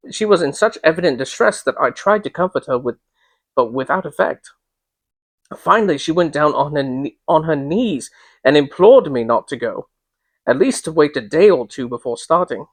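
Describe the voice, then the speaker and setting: A man with a fairly high voice hosts a literary reading. He is measured and informal, a little hesitant, and the recording is dry and close with little room sound. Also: no room sound. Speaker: reader and host. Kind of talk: dramatic literary reading with casual commentary.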